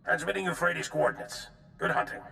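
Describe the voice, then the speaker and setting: An actor playing a grave, battle-worn military general in a video game, with a gravelly voice. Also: male voice, a little high, fairly even intonation, brisk, sharp tone, roomy sound, slight background noise, heavily acted, low-quality recording. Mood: urgent. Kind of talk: video game cutscene acting.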